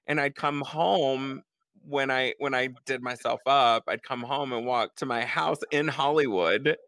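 A woman's voice: a bass voice, unhurried, a bit bright, no echo. A woman hosting a podcast, talking candidly and jokingly about her past and laughing often. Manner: frank, self-mocking, laughs often. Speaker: podcast host. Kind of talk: candid comedic chat.

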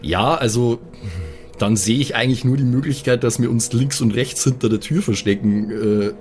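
A man improvises his part in a tabletop role-playing game. His voice is of medium pitch, and he talks haltingly, repeating words as he works things out. Music plays quietly underneath. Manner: hesitant, repeats words while thinking aloud. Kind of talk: improvised in-character table talk. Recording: music behind the voice.

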